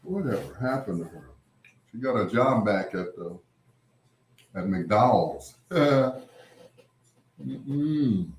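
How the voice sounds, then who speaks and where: A man chatting casually with live viewers as a livestream host, rambling and repeating phrases, with drawn-out greetings. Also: on the low side, lively intonation, a little hiss or hum. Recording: roomy, echoing sound.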